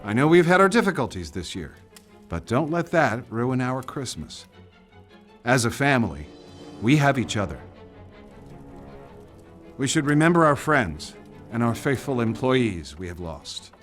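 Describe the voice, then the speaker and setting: An actor playing a proud, pressured senator and family patriarch in a video game, with a formal, authoritative manner. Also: male voice, medium pitch, sing-song, unhurried, pauses often, a bit bright, faint music behind. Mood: solemn, polite. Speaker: senator character. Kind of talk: dramatic video game dialogue.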